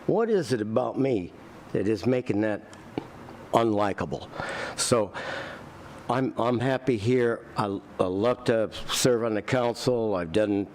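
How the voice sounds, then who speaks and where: A man's voice: lowish voice, a little slow, darkish tone, a little hiss or hum. A man, a sitting council member, speaking plainly and folksily at a candidate forum, often repeating his first words. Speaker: city council member running again.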